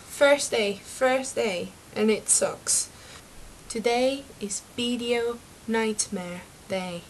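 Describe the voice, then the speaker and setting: Young woman, bookish vlogger, excitable, webcam in a small bedroom, higher pitch, light hiss. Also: extremely slow. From Venezuela. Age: young adult. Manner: chatty and excitable, laughs at her own slips. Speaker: book vlogger. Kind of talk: casual chat to camera. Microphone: webcam mic.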